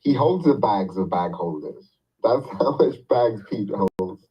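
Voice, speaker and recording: A man's voice: lowish voice, animated, measured pace, husky, distant mic, highs cut off. A man chatting casually as co-host, brash and swearing freely, laughing often. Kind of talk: casual chat.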